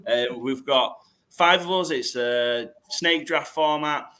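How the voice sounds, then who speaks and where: Male podcast host, slightly hoarse, bright and forceful tone, loose rambling delivery. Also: fairly high pitch, takes it slowly. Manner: rambling, with restarts and fillers. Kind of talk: casual chat, hosting a draft show.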